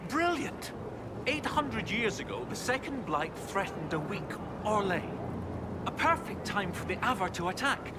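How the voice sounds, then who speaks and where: Excitable male scholar, highish voice, very lively, game role over loud outdoor ambience. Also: heavy background noise. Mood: thrilled. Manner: scholarly, animated, precise wording. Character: excitable, bookish male scholar and historian, eager about discoveries. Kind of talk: video game dialogue acting.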